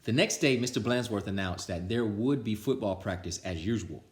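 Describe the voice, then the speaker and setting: A man reading a children's picture book aloud with an animated, theatrical delivery. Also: fairly low pitch, moderate pace. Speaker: storytime reader. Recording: roomy, echoing sound.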